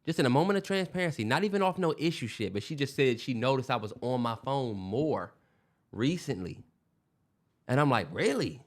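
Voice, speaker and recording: A man's voice: mid-range voice, expressive, a little fast. A young man co-hosting a podcast, talking loosely with profanity and lots of restarts. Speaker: podcast co-host. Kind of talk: casual chat.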